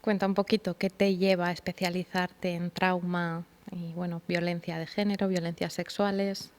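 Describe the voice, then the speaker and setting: Low-voiced woman hosting a podcast. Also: flat delivery, moderate pace. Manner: brief supportive interjections and questions. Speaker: podcast host. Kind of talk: casual podcast interview.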